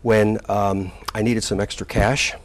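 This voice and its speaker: Older man, sixties, deep voice; unhurried interview guest who hesitates and restarts often. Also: level tone. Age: 60s. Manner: halting, with many fillers and restarts. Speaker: community college president, guest. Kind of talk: relaxed interview recollection.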